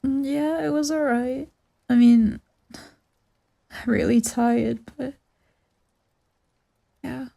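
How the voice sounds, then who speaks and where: A woman playing a tender, sleepy girlfriend in an ASMR comfort roleplay, speaking softly and soothingly. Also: sits high, fairly even intonation, very slow, creaky, pauses often, full character voice. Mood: sleepy, tired. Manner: soft, soothing, drowsy, murmuring into whispers.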